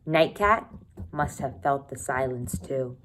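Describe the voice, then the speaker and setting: A woman teacher with a low voice reads a children's story aloud at an unhurried pace. She is expressive and stops to add thoughts for her students. There is some faint noise in the recording. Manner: expressive reading, pausing to comment and think aloud.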